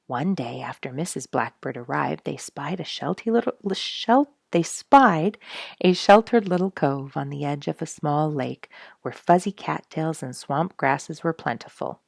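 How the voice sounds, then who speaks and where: A woman narrates a story for children in a low voice with a crackly, creaky edge. Her delivery is expressive and animated, shaped for reading aloud. The recording is close and dry. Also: measured pace, no room sound.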